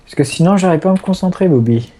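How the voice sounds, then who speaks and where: A man's voice: high voice, expressive, quick pace, dark tone. A young man with a French accent playing a shooter game, laid-back and giggly, wandering off into rambling asides. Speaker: gamer. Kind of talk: casual game commentary.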